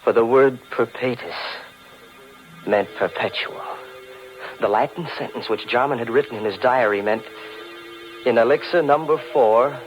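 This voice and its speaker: An actor playing an intense, obsessed young chemistry teacher in an old radio mystery, in a brooding, melodramatic style. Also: male voice, mid-range voice, normal speed, long pauses, dull audio, soft background music, natural voice. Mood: awed, obsessed. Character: nervous, obsessed young chemistry teacher narrating his scheme. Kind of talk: 1940s radio mystery acting and narration. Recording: old radio broadcast recording.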